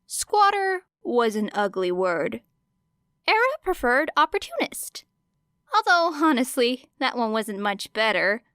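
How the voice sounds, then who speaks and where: A woman reading fiction aloud as an audiobook narrator, in an expressive storytelling style. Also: fairly high pitch, measured pace, clear, resonant tone, no room sound, studio quality. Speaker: audiobook reader of fan fiction.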